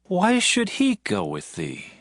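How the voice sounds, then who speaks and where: A man's voice: high voice, huge pitch swings, takes it slowly, fairly bright tone, no echo, studio quality, heavily acted. A man playing a solemn, fatherly biblical king, stately and speaking archaic English. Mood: kingly concern. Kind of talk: dramatized scripture reading with archaic English.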